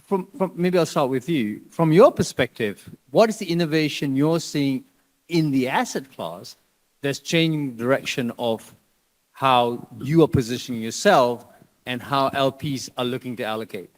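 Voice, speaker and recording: A man's voice: medium pitch, normal speed, rough recording. A man who grew up in Malaysia, moderating a panel discussion with conversational, probing questions.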